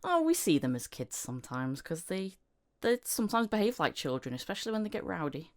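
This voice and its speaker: Lively-pitched woman hosting a chat. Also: fairly quick. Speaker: podcast host. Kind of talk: casual interview chat.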